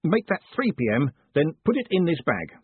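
Through a narrow phone-like band comes a brash, bossy postmaster in a storybook, acted by a male reader. He is smooth-tongued and curt. The voice is very husky and lively in pitch. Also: moderate pace, no room sound, exaggerated voice. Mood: brusque, impatient. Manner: curt orders in clipped bursts. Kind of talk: audiobook character dialogue. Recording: muffled, thin phone-like sound.

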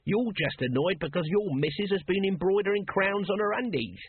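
A man performs a common, scruffy watchman from a comic storybook. He is chirpy and know-all, high in pitch with a rough edge. The sound is phone-like. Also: expressive, fairly quick, no echo. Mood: cheeky.

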